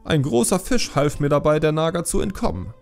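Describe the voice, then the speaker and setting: Man playing an animated fisherman storyteller. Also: on the high side, fairly quick, gravelly, soft background music, voice pushed up. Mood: excited. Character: excited male fisherman recounting a wild sea escape.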